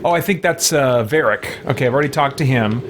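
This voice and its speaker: A man streams a video game from a home room, musing aloud in loose, casual commentary. His voice is medium in pitch, with clear background noise behind it. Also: brisk. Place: small home room lined with shelves.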